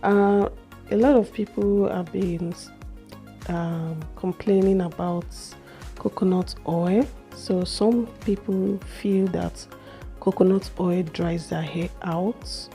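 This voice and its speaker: A young woman with a slightly hoarse voice, chatting slowly through a hair care vlog in a small room. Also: fairly low pitch, fairly even intonation, mellow, faint music behind. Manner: slow, chatty explaining with fillers. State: a little hoarse from a cold. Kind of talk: casual how-to vlog.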